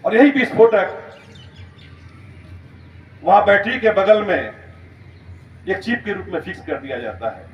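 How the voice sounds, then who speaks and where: A man's voice: high-pitched, very animated, unhurried, long pauses. A man speaking as a school principal, giving an emphatic, step-by-step explanatory lecture in storytelling style. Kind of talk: motivational school lecture, storytelling about current events. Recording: roomy, distant, muffled, noisy recording.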